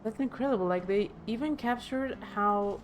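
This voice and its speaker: A woman's voice: fairly high pitch, normal speed, a little hiss or hum. A woman streamer giving casual, off-the-cuff commentary while playing a video game. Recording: game music behind the voice.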